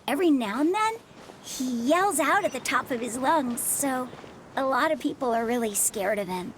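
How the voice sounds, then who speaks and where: A woman's voice: high-pitched, expressive, normal speed, slight background noise. A woman voicing a timid, earnest young village child in a video game, with a hesitant way of talking. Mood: earnest, defensive.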